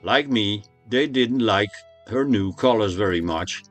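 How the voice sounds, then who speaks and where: A man's voice: fairly low pitch, unhurried, soft background music. A man presenting a narrated tour of a motorhome as a vlogger.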